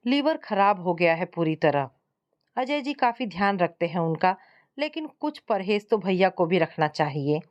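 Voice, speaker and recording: A woman's voice: on the low side, very animated, moderate pace. A woman narrator voicing a frank, concerned younger woman in a family story, admonishing and earnest. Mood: sorrowful, worried. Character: concerned sister-in-law, frank and sorrowful, adult woman.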